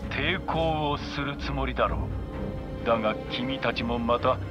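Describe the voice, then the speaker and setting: A man's voice: medium pitch, unhurried, highs cut off, loud music behind the voice, lightly acted. An actor playing a grandiose male villain leader who speaks in a commanding, preaching, theatrical way in a game cutscene. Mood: proclaiming. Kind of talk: anime-style game voice acting.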